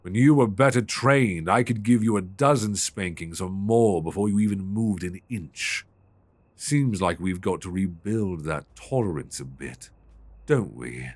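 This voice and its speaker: A British man playing a stern, possessive, dominant lover in an intimate ASMR roleplay, speaking deliberately and commandingly. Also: low voice, no room sound. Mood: teasing, stern. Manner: slow, deliberate, commanding with soft endearments.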